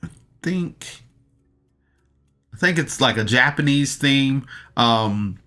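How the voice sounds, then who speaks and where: A man's voice: mid-range voice, lively intonation, takes it slowly, bright, forceful tone, soft background music. A man giving a casual solo podcast review in a rambling, hesitant, enthusiastic fan's way, often backtracking and using slangy wording.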